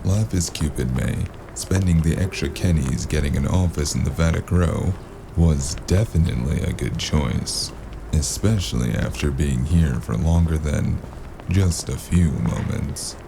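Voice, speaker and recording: A man acts out a weary noir investigator with a rock-bottom, creaky voice. He is sardonic and deadpan, brooding through his audio drama narration over clearly audible background noise. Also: a bass voice, unhurried, full character voice. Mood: brooding, wry. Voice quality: gravelly.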